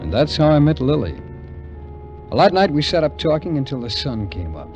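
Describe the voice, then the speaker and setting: A man's voice: fairly high pitch, sing-song, fairly quick. An actor playing a wry, wary American war veteran telling his tale in a 1950s radio drama, in a hesitant, colloquial way. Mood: wry, rueful. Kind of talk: 1950s radio drama acting and first-person narration. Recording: muffled vintage 1950s radio recording, music behind.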